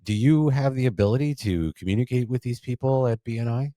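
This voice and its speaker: A man's voice: medium pitch, fairly quick, warm tone, dry recording, clean studio sound. A man hosting a podcast interview as an attorney, asking friendly, chatty, rambling questions.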